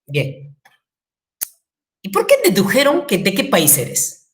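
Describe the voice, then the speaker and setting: A woman's voice: medium pitch, slow. A woman with a Peruvian Spanish accent giving a classroom lecture, acting out quotes and asking the class questions. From Peru. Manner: lively, acts out quotes, asks the class questions. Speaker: teacher.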